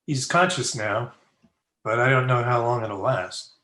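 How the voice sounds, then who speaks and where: An actor playing a brisk, urgent Old West town doctor in a radio western. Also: male voice, medium pitch, pushed and bright, a little hiss or hum. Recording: echoey room sound.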